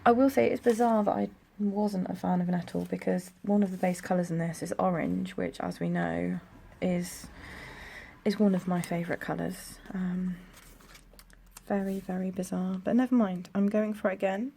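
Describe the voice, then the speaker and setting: A young woman with a croaky voice, chatting casually at home while decorating her planner. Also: lowish voice, steady tone, moderate pace. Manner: chatty, rambling, soft-spoken. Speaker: planner vlogger. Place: home room near a busy road. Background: traffic noise.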